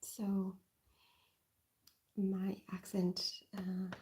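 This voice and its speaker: A woman with a softened Northern Irish accent, whispering in a relaxed ASMR livestream and rambling with plenty of fillers. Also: mid-range voice, slight background noise. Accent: Northern Irish, softened and mixed. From Northern Ireland. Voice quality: soft whisper. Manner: halting, rambling, with many fillers.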